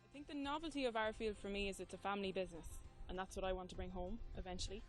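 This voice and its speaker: A woman's voice: mid-range voice, fairly even intonation, rapid-fire, poor audio. A young woman, a trainee at a horse stud, talking in a friendly, matter-of-fact way. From Ireland. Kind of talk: casual interview answers. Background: clear background music.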